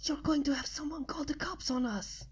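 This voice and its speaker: A woman's voice: high-pitched, normal speed, pitched above the performer's own voice, natural voice. A woman voicing a stressed, urgent woman writer with a secret in a story reading. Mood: urgent hissing. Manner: urgent, hissing and pleading.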